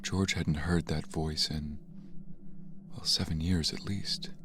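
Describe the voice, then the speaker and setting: A man's voice: extremely low pitch, fairly even intonation, takes it slowly, fairly bright tone, exaggerated voice. A man narrating a tense audio drama thriller as a sober storyteller, reading in a scripted style.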